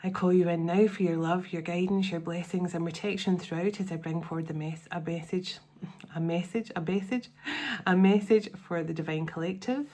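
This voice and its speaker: Woman, middle-aged, stuffy-nosed, lowish voice; murmured invocations, rambling chat with restarts. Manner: soft invocations, rambling chatty asides with restarts. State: sniffly and congested. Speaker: spiritual channeler and tarot reader. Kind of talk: casual spiritual channeling video.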